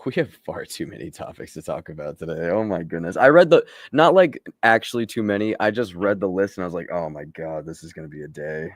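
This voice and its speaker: A man chats casually as a podcast panelist, informal and jokey. His voice sits on the low side but moves around in a very animated way. The sound is close and dry. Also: brisk, few pauses, no echo. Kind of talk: casual chat.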